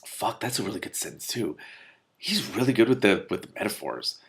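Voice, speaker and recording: A man reading a novel aloud as host of a read-along, with expressive, exclamatory and bemused spontaneous commentary. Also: fairly low pitch, a little fast, slightly rough.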